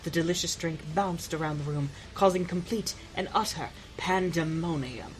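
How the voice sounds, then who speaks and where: A woman's voice: on the low side, normal speed, a little hiss or hum, lightly acted, rough recording. A woman narrating parts of a fan audio drama in a dry, matter-of-fact way.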